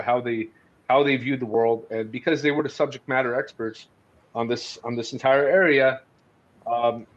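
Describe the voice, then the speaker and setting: Man, conversational history podcast, halting delivery, thinking aloud, medium pitch, muffled lo-fi audio. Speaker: podcast host discussing books. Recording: dull, lo-fi audio.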